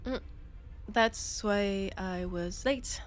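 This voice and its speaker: A young woman with a French accent playing a laid-off space miner in an audio roleplay, chatting hesitantly with her best friend and trailing off into pauses. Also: medium pitch. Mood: rueful.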